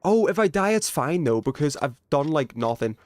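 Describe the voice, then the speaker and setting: Animated man livestreaming a video game. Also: on the high side, normal speed, background noise. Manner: excitable, slangy, thinking aloud. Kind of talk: casual gaming commentary, chatting with viewers.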